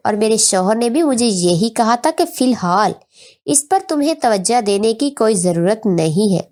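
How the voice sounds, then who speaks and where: High-pitched woman narrating a story. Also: a little mellow. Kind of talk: scripted first-person narration of an emotional family story.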